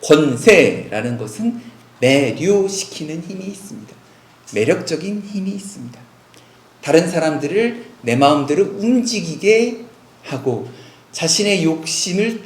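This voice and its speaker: Animated male pastor preaching, echoey hall. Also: fairly high pitch, a little slow. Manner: animated preaching, rhetorical questions to the congregation. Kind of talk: sermon. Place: church sanctuary.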